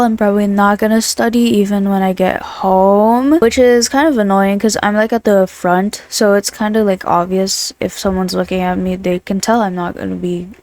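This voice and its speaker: A woman's voice: mid-range voice, a little mellow, no room sound. A young woman of Filipino family, a student and vlogger, rambling through a casual diary vlog and swearing freely. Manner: rambling, chatty, swears often.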